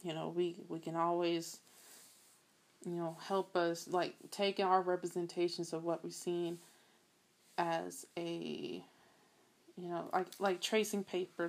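A woman hosting a solo podcast, talking casually in a soft voice, rambling a bit and chatting straight to her listeners. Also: fairly low pitch, little pitch movement, a little slow. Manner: rambling, laughs often, addresses listeners as y'all. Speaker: podcast host.

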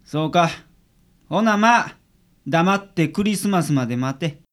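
An actor playing a gruff, lazy but secretly kind male private detective with a blunt Kansai accent in a radio drama. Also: mid-range voice, animated, a little slow, lightly acted. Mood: gruff, assured.

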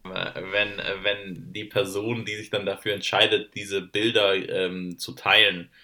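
Man, standard german, podcast co-host, hesitant fillers, bright tense tone. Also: lowish voice, little pitch movement. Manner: halting, many "ähm" fillers, dry teasing. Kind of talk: casual chat. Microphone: separate remote recording.

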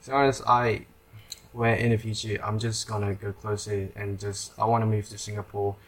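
A young person hosting a podcast in casual chat. They speak hesitantly and often trail off, on a rough home recording. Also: mid-range voice, level tone, fairly quick. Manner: hesitant, trails off, lots of likes. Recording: lo-fi home recording with faint background noise.

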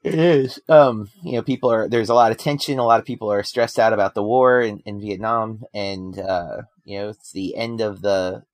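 A man co-hosting a casual film podcast, relaxed and conversational, with frequent ums and you-knows. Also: lowish voice, normal speed, hardly any pauses, no room sound. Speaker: podcast co-host.

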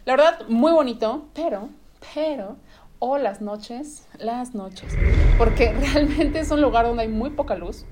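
A young woman with a Mexican accent, a podcast guest telling stories in an animated, casual way with lots of slang, in a studio. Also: a little high, unhurried, a little hiss or hum. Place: studio in front of a camera and light.

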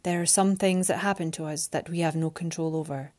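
A woman voicing a proud, cold winged man who is about to rule, speaking low and clipped. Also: steady tone, fairly quick, darkish tone, lightly acted. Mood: stern.

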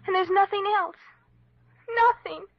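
An actress playing a miserable, ashamed teenage girl in a 1950s radio drama, speaking in a hesitant, plaintive, breathy way. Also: very high-pitched, little pitch movement, unhurried, loud music behind the voice, heavily acted. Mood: despairing. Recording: dull lo-fi 1950s radio recording.

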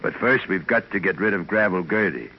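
A man's voice: deep voice, brisk, breathy edge. A man playing a scheming villain in an old radio drama, sly and menacing. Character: scheming, smooth-talking villainous property buyer. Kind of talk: 1940s radio acting. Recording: muffled old radio recording.

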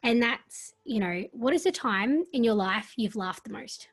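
An Australian woman from the countryside hosting an interview, speaking softly and reflectively. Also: on the high side, expressive, measured pace, husky. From rural Australia. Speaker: podcast host. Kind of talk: casual interview chat.

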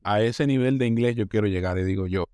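A man's voice: on the low side, quick pace, darkish tone, smooth, no echo. A Colombian man talking at length in an interview, often restarting words and acting out what others said. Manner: talkative, restarts words, acts out quoted voices. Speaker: interview guest, newcomer. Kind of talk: casual interview.

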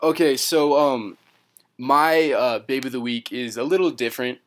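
A man's voice: medium pitch, moderate pace. A fifteen-year-old boy guesting on a friends' podcast, teasing in a dry way. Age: teens. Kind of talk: casual chat.

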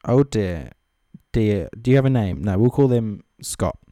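A man's voice: on the low side, lively intonation, measured pace, warm tone, no room sound, clean studio sound. A man giving casual, off-the-cuff comedic commentary as a host, with sarcastic, mocking asides. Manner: sweary. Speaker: comedy host reading social media comments.

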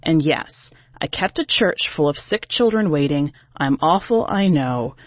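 A woman's voice: deep voice, lively intonation, measured pace, no room sound, muffled recording. A woman narrating a short story as a brash, defensive, sarcastic female singer, in a wry, exasperated, conversational way. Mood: sardonic, confiding.

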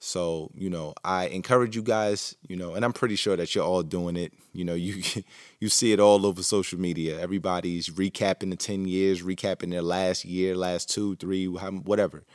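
A man's voice: low voice, fairly even intonation, normal speed, dry recording, clean studio sound. A man hosting his own podcast, talking alone in a rambling, reflective way with lots of fillers and restarts. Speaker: podcast host. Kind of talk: casual solo monologue.

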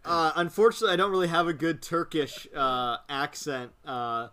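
A young man hosts a casual sports-betting podcast with a fairly high voice for a man. He is loose and jokey and fills his sentences with "uh"s as he hands the turn to the next friend. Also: steady tone, moderate pace, pushed and bright. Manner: loose and joking, many filler "uh"s. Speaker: podcast host running the picks.

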